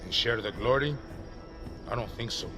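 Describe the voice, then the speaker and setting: An actor playing a suspicious, greedy enemy soldier on patrol in a video game, menacing and commanding. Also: male voice, mid-range voice, moderate pace, loud music behind the voice, lightly acted. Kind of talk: video game villain acting.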